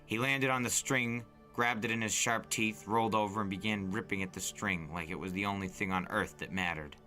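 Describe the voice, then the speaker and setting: A man narrates a story in a fairly low, bright voice. His reading is expressive and suspenseful, in a dramatic audiobook style, with light music behind him. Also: fairly quick. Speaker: narrator and reader. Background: music bed.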